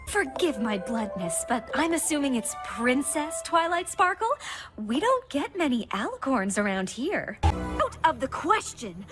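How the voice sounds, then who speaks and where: Theatrical female pony zealot with a grudge woman acting, high-pitched and animated, music underneath. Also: heavily acted. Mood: sugary welcome, then indignant. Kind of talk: cartoon character audition acting.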